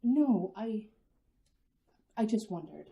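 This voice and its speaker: A woman's voice: medium pitch, expressive, slow, vocal fry, mellow. A woman playing an anxious suburban housewife and mother in an old-fashioned radio drama, hesitant and fretful as she hides her worry. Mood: nervous, fretful. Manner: hesitant, repeating words, fretful.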